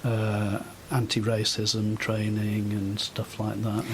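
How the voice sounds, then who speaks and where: A man's voice: on the low side, monotone, slow pace, a little hiss or hum. Older man in conversation. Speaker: charity volunteer, former teacher. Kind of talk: conversational interview answers.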